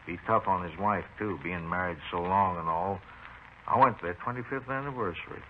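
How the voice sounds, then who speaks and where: An actor playing a talkative, eccentric older widowed neighbor in a 1950s radio drama, rambling and hesitant in a folksy way. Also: male voice, sits low, natural voice. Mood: wistful. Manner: rambling, unhurried. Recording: tinny, muffled 1950s radio recording, faint noise.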